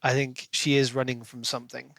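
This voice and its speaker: A man's voice: mid-range voice, level tone, brisk, gravelly, a bit bright, no echo. A man co-hosting a podcast, chatting casually and giving opinions about a book. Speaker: podcast co-host.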